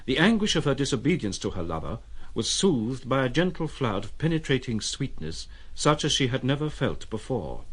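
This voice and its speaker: A man's voice: mid-range voice, moderate pace, slightly rough. A man narrating an audio drama in a scripted, literary manner. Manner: literary, expressive.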